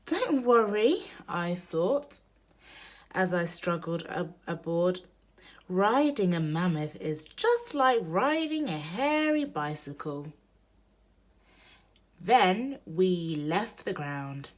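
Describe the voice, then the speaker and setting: A woman with a slightly low voice reads a children's picture book aloud. She takes her time over each phrase, and her pitch rises and falls in an animated, storytelling way. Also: takes it slowly. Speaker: storybook reader.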